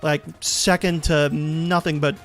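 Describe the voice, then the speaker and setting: A man's voice: a little high, flat delivery, slow pace, fairly bright tone. A man giving casual gameplay commentary as a let's play host, explaining in a rambling, hesitant way. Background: game menu music.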